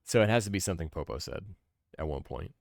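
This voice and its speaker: A man in his thirties giving casual, analytical video game commentary, thinking out loud. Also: low voice, animated, fast talker, vocal fry, a crowd in the background. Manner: musing, analytical, thinking aloud. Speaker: podcast host and game streamer. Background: video game music.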